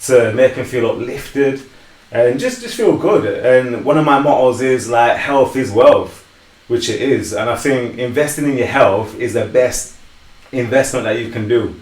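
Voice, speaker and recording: A man's voice: medium pitch, measured pace, darkish tone, echoey room. Earnest man in his thirties. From Sheffield, England. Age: 30s. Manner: earnest, upbeat, motivational. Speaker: personal trainer, panelist. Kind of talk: casual self-introduction.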